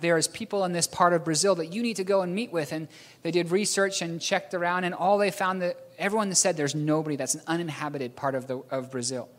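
A man from New Zealand preaching a storytelling sermon, rambling a little and correcting himself with a chuckle. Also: on the high side. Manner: rambling, self-correcting, chuckles at own slips. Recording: long hall reverb.

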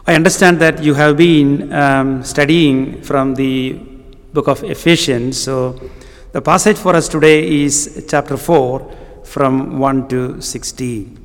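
Slow, halting Kerala-accented male preacher. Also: mid-range voice, steady tone. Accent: Indian, Kerala. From Kerala, southern India. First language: Malayalam. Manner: halting, frequent ums and uhs, deliberate. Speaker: guest preacher. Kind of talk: sermon. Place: church with long reverb. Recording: reverberant church hall.

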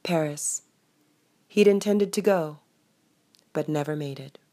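A woman with a low voice reading her own novel aloud as an audiobook narration. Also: slow, dark tone.

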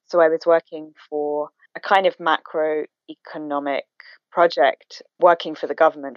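Low-voiced woman on a dull line. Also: darkish tone, dry recording. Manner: reflective, measured, with hedges. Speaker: guest, documentary filmmaker. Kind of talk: interview answers. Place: home, remote call. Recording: dull phone-call audio.

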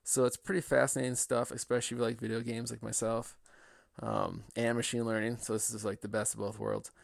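A man hosts an interview show in an upbeat, casual manner. His mid-pitched voice carries a gravelly fry. The recording is dry, with little room sound. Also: little pitch movement, fairly quick, no room sound. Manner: upbeat, with some fillers. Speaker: podcast host.

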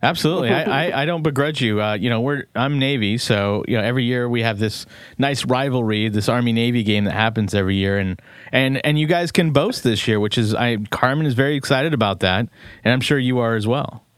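An upbeat male podcast host in casual conversation, tripping over his words with fillers and restarts. His voice sits at a middle pitch. It is recorded close and dry, with no room sound. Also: a little fast, clean tone, no echo. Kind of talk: casual interview chat.

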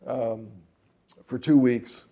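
A man's voice: medium pitch, fairly even intonation, extremely slow, mellow. A middle-aged man, a jazz trumpeter and composer, answering interview questions in a rambling way with many fillers and restarts.

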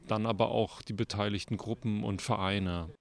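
A man's voice: fairly low pitch, fairly even intonation, normal speed, creaky. A man explaining in an interview, rephrasing his points for emphasis. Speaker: project organiser interviewee.

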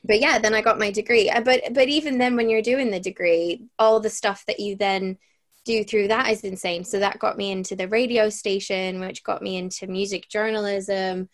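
A woman's voice: medium pitch, steady tone, normal speed. A young woman from Ireland with a mixed Irish accent that comes and goes, chatting casually as an interview guest and laughing often as she talks. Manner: chatty and giggly, often laughing mid-sentence.

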